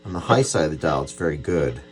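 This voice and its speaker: A man with a deep voice talks casually through a hands-on repair. He is plainspoken and slightly grumbling, and music from a radio plays clearly behind him. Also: expressive, fast talker. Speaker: radio repair hobbyist, channel host. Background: music from a radio being repaired.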